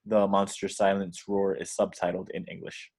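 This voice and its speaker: A man narrates the action of a screenplay. His voice is on the low side, and he reads stage directions as scripted narration for a table read. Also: level tone, moderate pace, darkish tone.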